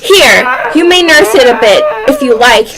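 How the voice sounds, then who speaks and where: A woman's voice: high voice, expressive, normal speed, over a noisy crowd. A female amateur actor playing a brusque, bad-tempered duchess in a radio play. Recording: loud background noise.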